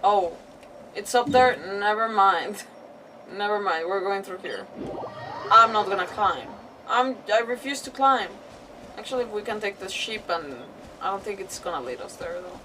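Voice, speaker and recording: A woman casually commentating on a live game playthrough, thinking aloud in an informal, expressive way. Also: medium pitch, unhurried, background noise. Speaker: game streamer. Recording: distant-sounding mic with game music behind. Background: game music.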